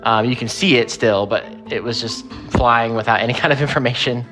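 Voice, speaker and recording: A man's voice: medium pitch, a little fast, a bit bright, soft background music. A man being interviewed, casually recalling his own experience in everyday speech. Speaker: interviewee, former trainee. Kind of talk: casual first-person recollection.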